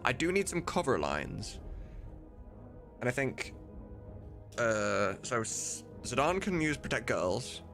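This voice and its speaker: A man's voice: medium pitch, sing-song, slow, long pauses, a bit bright, faint music behind. A man chatting casually and informally as a livestream host, with some mild swearing. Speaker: livestreamer and host of a game voice-dubbing mod team.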